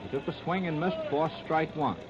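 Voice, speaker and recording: A muffled, noisy 1930s radio recording of an American man announcing a ball game. His voice sits fairly high, and he reports each move in short, terse phrases as a live play-by-play commentator. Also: expressive, normal speed. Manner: clipped, terse running phrases. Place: ballpark broadcast.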